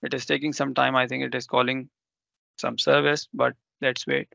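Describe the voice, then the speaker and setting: Gravelly man walking through a tech demo. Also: mid-range voice, flat delivery, moderate pace, pushed and bright, no echo, highs cut off. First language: Urdu. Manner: explanatory, with frequent ums and self-corrections. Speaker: presenter. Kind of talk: live technical demo walkthrough.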